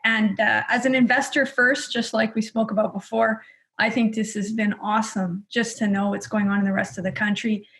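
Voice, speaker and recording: A woman from Montreal speaks as an investor guest on a video call. Her delivery is measured and explanatory, walking through numbers step by step. Her pitch sits in a middle range. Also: little pitch movement, fairly bright tone. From Montreal, Canada. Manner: measured, explanatory, figures-heavy. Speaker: real estate investor guest. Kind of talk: video call panel talk.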